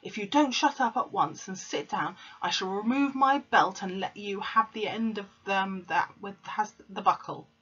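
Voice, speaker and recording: A woman reading a storybook aloud, putting on a furious, pompous bullying headmistress who rants and shouts. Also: medium pitch, moderate pace, few pauses, breathy edge, dull audio, pitched above the performer's own voice. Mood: raging.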